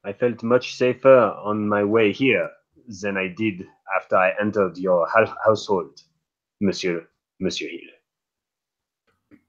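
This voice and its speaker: A man playing a suspicious, stiffly polite gentleman guest in a mystery roleplay, halting and deliberate, on a dull microphone. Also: lowish voice, fairly even intonation. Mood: wary, uneasy. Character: wary, formal gentleman guest, suspicious and measured. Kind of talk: improvised roleplay dialogue.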